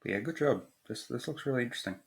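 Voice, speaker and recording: A man's voice: mid-range voice, very fast, gravelly. A man from the UK, giving casual art critique on a stream in a loose, easygoing way. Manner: easygoing, hedging with "like" and "kind of".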